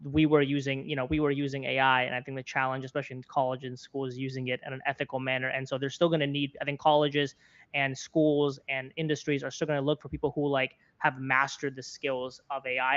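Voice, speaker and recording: A man's voice: mid-range voice, a bit bright, lo-fi sound. A man in his twenties with an American accent gives conversational interview commentary, rephrasing his points earnestly as he goes. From Florida, United States. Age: 20s. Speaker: interview guest, content creator and journalist.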